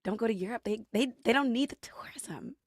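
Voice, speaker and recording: Gravelly, expressive woman host. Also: a little high, brisk, dry recording, studio quality. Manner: expressive, exclaims and reacts loudly. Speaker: show host. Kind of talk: casual interview chat.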